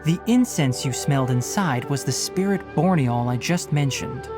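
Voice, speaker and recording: A man playing a calm, gently stern young forest ranger mentor in an anime video game, speaking in a precise, explanatory way. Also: medium pitch, moderate pace, natural voice. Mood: instructive. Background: music.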